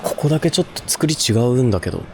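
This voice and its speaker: A man's voice: medium pitch, lively intonation, quick pace, over music. A man hosting an unscripted ghost-hunting video on location outdoors, talking in a casual, conversational way. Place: outdoors at a suspension bridge.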